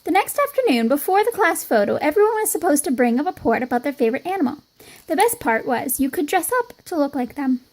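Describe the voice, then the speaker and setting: A woman reading a children's picture book aloud in an expressive storytelling style. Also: high-pitched, fast talker. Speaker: storybook reader.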